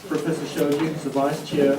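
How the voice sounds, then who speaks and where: A man from New Zealand chairing a roundtable meeting, introducing people with halting speech and many fillers. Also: fairly high pitch, completely flat delivery. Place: conference room. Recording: echoey room, distant mic, noisy.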